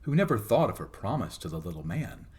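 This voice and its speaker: A man narrating a fairy tale as a storytelling host, in a theatrical, expressive dramatic reading. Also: lowish voice, a little fast.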